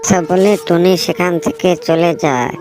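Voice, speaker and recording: A man's voice: high voice, moderate pace. A man narrating a scripted children's fable as the storyteller. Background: background music.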